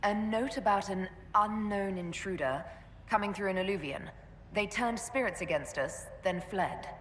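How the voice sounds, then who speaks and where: An actress playing a composed, resolute female leader of a fantasy order in a video game, speaking in a measured, earnest way. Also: medium pitch, a bit bright, natural voice. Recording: roomy echoing hall, faint background noise.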